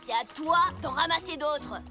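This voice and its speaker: A woman's voice: sits high, moderate pace, over music, close to an everyday voice. A woman voicing a bossy, mocking child bully in a French cartoon, sneering and impatient. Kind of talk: French cartoon dub acting.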